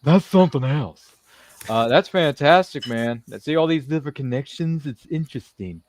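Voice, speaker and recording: A man's voice: a little high, lively intonation, normal speed, darkish tone, slight background noise. A man hosting a podcast interview in a casual, jokey, rambling way, often repeating his phrases. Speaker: podcast host. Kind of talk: casual live interview chat.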